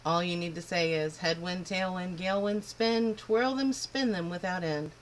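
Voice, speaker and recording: A woman's voice: on the low side, moderate pace, lightly acted, low-quality recording. Woman doing a brusque, boastful old wind spirit for a storybook reading; faint hiss behind. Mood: gruff. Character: gruff old male north wind spirit.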